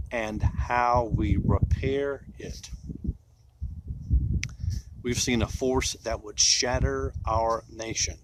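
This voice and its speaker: Guy reciting a solemn poem really slowly, lots of dramatic pauses, bit of background noise. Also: mid-range voice, low-quality recording. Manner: deliberate phrasing with dramatic pauses. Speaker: poetry reciter.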